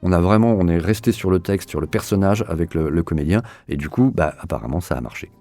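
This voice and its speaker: A man's voice: low-pitched, expressive, fast talker, warm tone, soft background music. A man being interviewed as an audio series director, explaining his own work in a hesitant way, often repeating words as his thoughts form.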